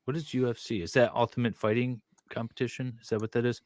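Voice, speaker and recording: A man's voice: medium pitch, flat delivery, fast, darkish tone, no room sound. A middle-aged man casually reading aloud and breaking off for wry, teasing asides as a co-host. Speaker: co-host reading and reacting to a document.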